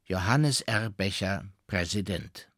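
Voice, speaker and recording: A man's voice: low-pitched, expressive, slow, a bit bright, no room sound, studio quality. A man reading a formal public manifesto in a solemn, declamatory manner.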